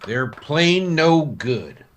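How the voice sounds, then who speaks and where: Sharp-voiced, plainspoken frontier doctor played by a man. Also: a little high, very animated, extremely slow, soft background music, exaggerated voice. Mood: wary. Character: gruff, shrewd frontier town doctor. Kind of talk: old-time radio Western acting.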